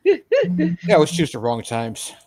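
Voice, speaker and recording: A man on a livestream panel talking casually in a halting, understated way. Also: mid-range voice, wildly swooping pitch, darkish tone, faint music behind. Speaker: stream panelist. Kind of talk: casual chat.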